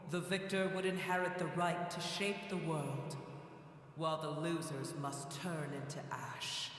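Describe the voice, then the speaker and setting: An actor voicing an ancient, proud and bitter dragon in a video game, speaking in a solemn, grand and measured manner. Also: male voice, fairly high pitch, a bit bright. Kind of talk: scripted video game dialogue. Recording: echoing cavernous reverb, faint noise.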